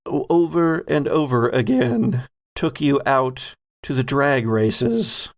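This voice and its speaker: A man narrating a tabletop horror game as game master, with deliberate, dramatic storytelling. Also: medium pitch, animated, a little hiss or hum. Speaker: game master, podcast host.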